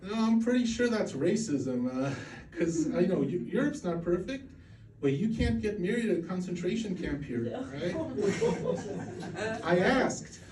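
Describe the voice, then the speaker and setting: A man's voice: sits high, very animated, measured pace, a crowd in the background. Rambling self-mocking comic in his forties. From Poland. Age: 40s. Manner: rambling, self-mocking, with crude punchlines and frequent restarts. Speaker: stand-up comedian. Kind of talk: stand-up routine. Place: comedy club stage. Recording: roomy venue sound from a distance.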